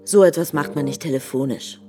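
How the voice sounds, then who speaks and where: Radio drama rival: a woman playing a frosty, hostile woman, low voice, curt and controlled, music behind. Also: brisk, dark tone, lightly acted. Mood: cold, dismissive.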